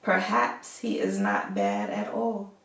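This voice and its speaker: A woman's voice: mid-range voice, very animated, slow, creaky, a bit bright, heavily acted. A woman voicing a brave, kind young heroine in a fairy tale, earnest, polite and reassuring. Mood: thoughtful. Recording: roomy echo, distant microphone.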